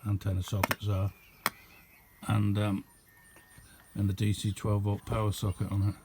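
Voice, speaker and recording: A man's voice: lowish voice, monotone, normal speed, very noisy. A man giving a casual, unscripted show-and-tell as a hobbyist, rambling along in an understated way. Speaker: hobbyist demonstrating a homemade radio.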